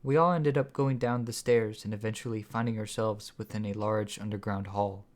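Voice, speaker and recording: A man's voice: fairly low pitch, fairly even intonation, moderate pace. A man reading his own story as scripted audiobook narration.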